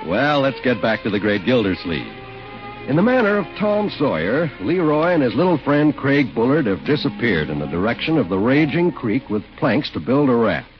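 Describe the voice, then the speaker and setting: A man announcing in an emphatic, folksy 1940s radio sales style with a husky voice. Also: medium pitch, big pitch swings, normal speed, faint music behind. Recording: muffled 1940s radio recording.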